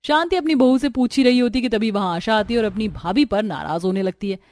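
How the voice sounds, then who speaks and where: A woman's voice: on the high side, a little fast, darkish tone, dry recording, studio quality. A woman delivering scripted narration for a cartoon story.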